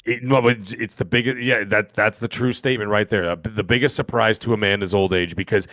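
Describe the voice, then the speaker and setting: A man in his fifties talks quickly through a casual podcast chat, rambling from one joking story to the next. His voice sits at an ordinary pitch, and the sound is dry, close and free of room echo. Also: fairly even intonation, fairly bright tone. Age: 50s. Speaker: podcast co-host.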